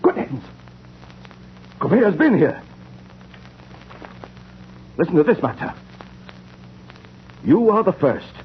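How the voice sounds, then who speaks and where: A man acting an alarmed, excitable doctor in a 1940s radio drama, urgent and astonished. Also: high-pitched, slow, pauses often, a little hiss or hum, full character voice. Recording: muffled vintage radio recording.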